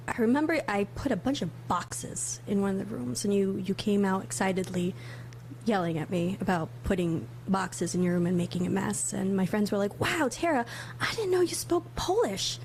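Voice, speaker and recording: A woman hosting a casual livestream, chatty and quick to laugh, thinking aloud as she sets things up. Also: mid-range voice, darkish tone, slight background noise. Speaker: livestream host.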